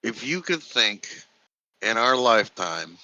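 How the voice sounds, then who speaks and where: A man in his late thirties co-hosting a casual chat, laid-back and unhurried. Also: fairly low pitch, sing-song, bright, forceful tone, lo-fi sound. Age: 30s. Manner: slow, laid-back, says "bro". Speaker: co-host.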